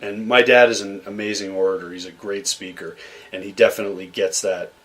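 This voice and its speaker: A man's voice: fairly low pitch, little pitch movement, fairly quick, a bit bright. A young man from Massachusetts, a podcast guest, telling stories about his childhood in a loose, rambling way with lots of fillers and restarts. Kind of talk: relaxed conversational storytelling.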